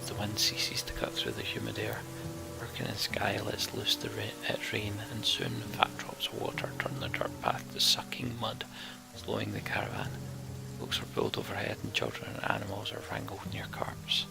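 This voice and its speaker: A man's voice: lowish voice, very animated, moderate pace, creaky, whispered, over loud music. A man narrating a fantasy game's story text aloud as a let's play host.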